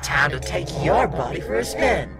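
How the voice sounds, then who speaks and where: A man's voice: sits high, sing-song, normal speed, vocal fry, over loud music, full character voice. A man voicing a cheeky, mischievous animatronic rabbit from a horror game.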